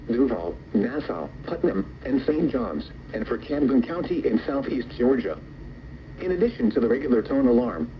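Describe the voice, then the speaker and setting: A man's voice: medium pitch, lo-fi sound. A synthetic man's voice reading a formal, scripted public service announcement over the radio. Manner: formal, impersonal. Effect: radio broadcast. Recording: very noisy weather radio reception.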